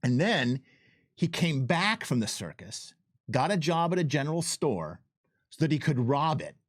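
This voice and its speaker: A man, a game developer, telling stories in an emphatic, animated way in a casual unscripted livestream chat. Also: fairly high pitch, moderate pace, no room sound, clean studio sound. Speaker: game developer giving commentary.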